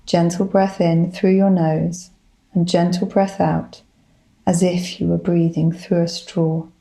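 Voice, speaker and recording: A woman leading a guided body scan meditation, giving gentle, soothing instructions in a calm manner. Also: on the low side, takes it slowly, vocal fry, rough recording. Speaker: psychologist, guided meditation leader.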